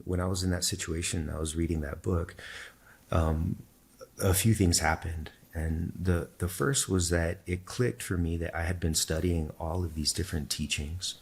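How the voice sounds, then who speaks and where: A podcast guest whose first language is Spanish tells his story in a thoughtful, measured way. His voice sits very low, with a gravelly fry and a husky grain. He explains his points carefully and steadily. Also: a little mellow. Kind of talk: reflective personal storytelling in conversation.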